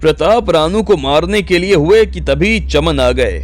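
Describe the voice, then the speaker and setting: A man's voice: on the high side, expressive, unhurried. A man narrating a scripted audio drama story as its storyteller. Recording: music bed under the voice.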